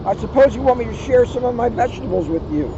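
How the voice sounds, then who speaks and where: Man putting on a grumpy high-pitched storybook rabbit, noisy recording. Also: expressive, fast talker. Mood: irritated shouting. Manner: indignant, loud and emphatic. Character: grumpy, fussy, selfish male rabbit gardener. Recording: noisy outdoor recording, slightly muffled.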